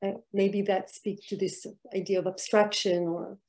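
A woman's voice: medium pitch, flat delivery, normal speed, a little mellow. A middle-aged woman from Israel, an artist answering interview questions over a video call, speaking reflectively with many fillers and restarts. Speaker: artist guest.

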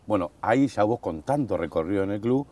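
A man's voice: lowish voice, animated, fairly quick, darkish tone. A man in his forties with an Argentine accent, hosting a casual conversational interview. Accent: Argentine Spanish. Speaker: host, interviewer. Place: outdoor garden patio, at a dining table.

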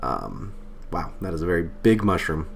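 A man's voice: sits low, sing-song, normal speed, gravelly, slight background noise. A man getting over a cold, chatting casually and thinking aloud as he plays through a game, with fillers and small laughs at himself. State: recovering from a cold. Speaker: let's play host. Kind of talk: casual gameplay commentary. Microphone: desk microphone.

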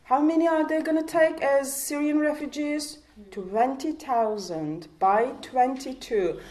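A woman's voice: high voice, a little slow, a little mellow. Impassioned woman, Kurdish native speaker. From Turkey. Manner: impassioned, rhetorical questions, frequent restarts. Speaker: panel speaker. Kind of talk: public panel talk. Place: community hall, panel table. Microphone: handheld mic.

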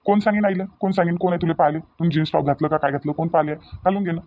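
A man's voice: on the high side, steady tone, racing, phone-quality sound, close to an everyday voice. A man voicing a young newlywed husband in a cartoon, doting and a little impatient, coaxing insistently in a Varhadi Marathi accent from Vidarbha. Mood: impatient reassurance. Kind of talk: cartoon dialogue acting in colloquial dialect.

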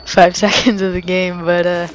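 A woman's voice: on the low side, flat delivery, moderate pace, lo-fi sound, slight background noise. A young woman casually commenting while she plays a video game, chatty and rambling with frequent laughs. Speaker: let's play host. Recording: commentary over game sounds.

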